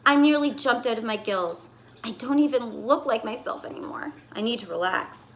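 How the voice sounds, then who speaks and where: A fussy, put-upon goldfish voiced by a woman tells its diary as a children's storybook. The voice sits high and swings widely in pitch. The delivery is theatrical and expressive, like someone reading aloud to children. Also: moderate pace, noisy background. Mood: exasperated, fed up. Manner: dramatic, exasperated, expressive storytelling. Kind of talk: expressive children's picture book read-aloud.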